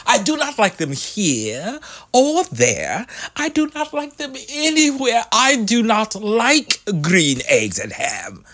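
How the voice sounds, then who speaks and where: A man's voice: sits high, fairly bright tone, exaggerated voice. A man voicing a stubborn, grumpy storybook creature who refuses food with exasperated emphasis.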